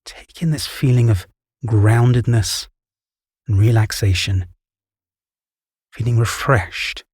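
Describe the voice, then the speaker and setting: A man guiding a relaxation meditation, giving calm, soothing, measured instructions. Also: on the low side, husky, no room sound, studio quality. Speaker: meditation guide. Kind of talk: guided relaxation meditation, scripted narration.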